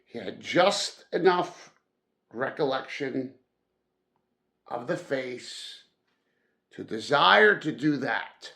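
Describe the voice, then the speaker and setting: A man narrates a storybook aloud at an extremely slow pace. His mid-range voice has a clear creak and fry to it. He phrases deliberately and theatrically, leaning hard on chosen words. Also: medium pitch, animated, sharp tone. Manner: deliberate, dramatic phrasing with emphatic stresses. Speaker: audiobook-style reader.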